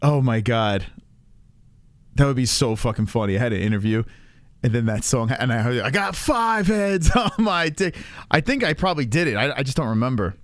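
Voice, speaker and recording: A man's voice: mid-range voice, lively intonation, normal speed, no room sound. Rambling, laughing man in his forties. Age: 40s. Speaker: podcast co-host. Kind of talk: casual chat.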